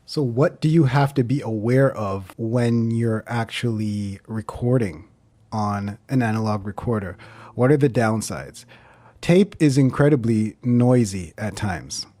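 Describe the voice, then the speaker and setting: A man presenting an informal explainer vlog, talking things through in a measured, explanatory way. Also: medium pitch, dark tone, no echo.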